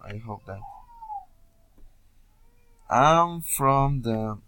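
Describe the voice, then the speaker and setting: A young man from Peru with a Peruvian Spanish accent, introducing himself informally as a student in hesitant learner English with frequent pauses. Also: mid-range voice, lively intonation, bright, forceful tone, clean tone, low-quality recording. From Puno, Peru. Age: young adult. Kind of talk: informal self-introduction video.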